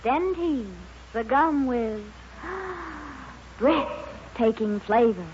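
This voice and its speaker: Very slow high-voiced woman in vintage jingle. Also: lively intonation, darkish tone. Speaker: jingle performer. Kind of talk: 1950s radio jingle. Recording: reverberant, dull, noisy old radio recording.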